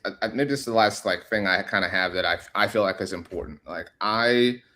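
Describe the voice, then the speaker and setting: A man's voice: lowish voice, a little fast, pushed and bright. A man speaking as a podcast guest, thoughtful and encouraging, with many "like" fillers. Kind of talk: casual podcast chat.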